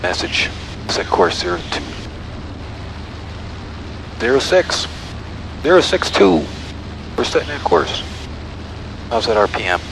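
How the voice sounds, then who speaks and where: A man's voice: medium pitch, big pitch swings, takes it slowly, gravelly, pauses often. A man piloting a small plane, talking in clipped radio calls and casual cockpit self-narration into a headset. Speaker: private pilot and aviation vlogger. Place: cockpit of a small airplane in flight. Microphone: aviation headset. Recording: loud small-airplane cabin noise.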